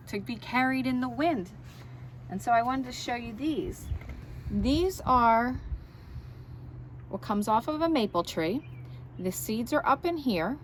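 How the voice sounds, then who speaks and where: Slow-paced woman teaching and reading to children. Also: fairly high pitch, slight background noise. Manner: explanatory, asks the child listeners questions. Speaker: children's story time teacher and reader. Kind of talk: read-aloud and show-and-tell for young children.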